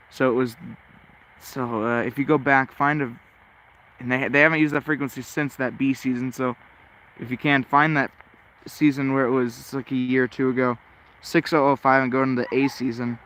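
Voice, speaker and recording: A man with a flat, even delivery talks casually as a hobbyist at his radio. He rambles hesitantly and corrects himself as he goes. There is faint background noise on the recording. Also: mid-range voice, normal speed, poor audio. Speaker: shortwave radio hobbyist narrating a tuning session. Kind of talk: casual off-the-cuff commentary.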